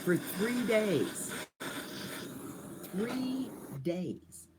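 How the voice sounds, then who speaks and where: Older woman vlogger, chatty and goofy, pitch bouncing all over, casual livestream talk. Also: animated, extremely slow, very noisy.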